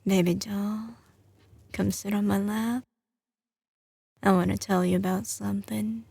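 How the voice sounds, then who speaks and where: Woman playing a slow-drawling Southern mafia boss girlfriend. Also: mid-range voice, level tone, gravelly, dark tone, breathy edge, dry recording, clean studio sound. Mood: intimate, musing. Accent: slight Southern US drawl. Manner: drawling, teasing, sliding between commanding and tender. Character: doting but ruthless young female mafia boss girlfriend. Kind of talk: ASMR audio roleplay, intimate scripted acting.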